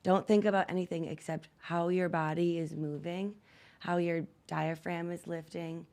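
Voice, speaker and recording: A woman's voice: on the low side, level tone, moderate pace, darkish tone. A woman speaking as a podcast guest and lifestyle coach, giving advice in a conversational way.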